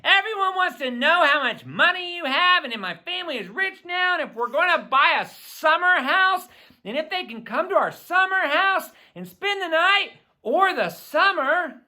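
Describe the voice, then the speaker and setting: A high, bright teenage girl , acted out by an audiobook reader, sulky and dramatic. She whines in exasperation at a younger sibling, swinging widely in pitch as a children's story character. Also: sing-song, moderate pace, heavily acted. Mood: indignant, whiny. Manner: whiny, exasperated.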